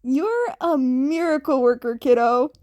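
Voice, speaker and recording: A woman's voice: sits high, expressive, measured pace, dark tone. A woman voicing an affectionate, upbeat, sincere man acting as a father figure in a fan fiction audiobook. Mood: sincere, fond.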